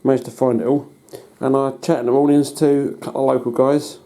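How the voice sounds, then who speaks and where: A British man giving a casual hands-on demonstration as a hobbyist, in a halting, matter-of-fact way. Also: mid-range voice, flat delivery, dark tone. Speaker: hobbyist presenter. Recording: noticeable background noise.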